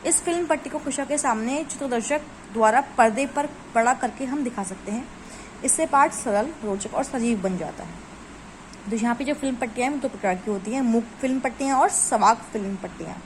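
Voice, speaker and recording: A woman with a fairly high voice teaches a lesson, reading formal textbook lines and then explaining them again in everyday Hindi sprinkled with English words. A faint hiss sits under her voice. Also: a little fast, poor audio. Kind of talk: explaining from study notes.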